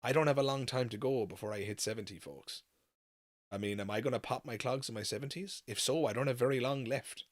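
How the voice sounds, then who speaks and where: A man's voice: medium pitch, fairly quick. A man in his thirties hosting a casual live chat, musing in a rambling way and repeating phrases for emphasis. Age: 30s. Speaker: livestream podcast host.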